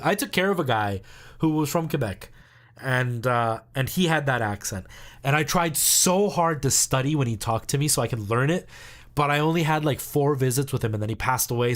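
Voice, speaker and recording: Chatty Canadian man, casual streamer. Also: mid-range voice. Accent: generic Canadian English. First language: not English. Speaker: video game streamer. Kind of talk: casual livestream chat.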